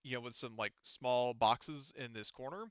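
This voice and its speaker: A man acting as game master, narrating a tabletop game casually and haltingly as he thinks aloud. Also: mid-range voice, clear, resonant tone, dry recording, muffled recording.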